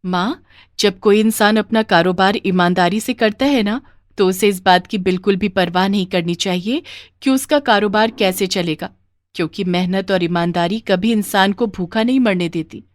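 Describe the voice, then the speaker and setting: A woman voicing a sensible, hopeful young daughter who talks earnestly and reassuringly in an animated moral story. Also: mid-range voice, normal speed, no echo, studio quality, lightly acted.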